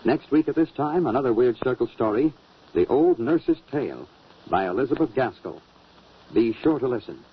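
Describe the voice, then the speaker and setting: A man with a husky voice announcing and selling in the style of an old-time radio commercial. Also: mid-range voice, animated, normal speed. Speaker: radio announcer and sponsor pitchman. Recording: dull vintage radio recording.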